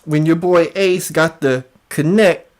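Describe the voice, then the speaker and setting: Slow, emphatic man doing mocking commentary. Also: fairly high pitch, darkish tone. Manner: emphatic, repetitive, taunting. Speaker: internet commentator and show host.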